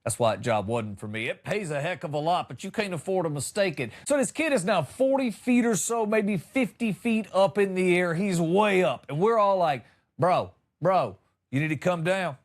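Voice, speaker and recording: Animated higher-pitched man telling comic stories. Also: normal speed. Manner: wry, exaggerated storytelling with emphatic punchlines. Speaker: comedian and podcast host. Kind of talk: comic storytelling monologue.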